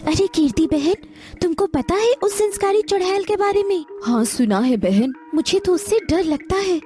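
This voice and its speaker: A woman playing an excitable, complaining village housewife in an animated moral story, with exaggerated delivery. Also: extremely high pitch, moderate pace, faint music behind. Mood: anxious gossip. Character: gossiping, complaining village housewives, easily frightened.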